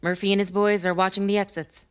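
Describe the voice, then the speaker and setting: An actress playing a brisk female police officer reporting in a crime audio drama. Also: mid-range voice, little pitch movement, clean tone, lightly acted. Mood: brisk, businesslike.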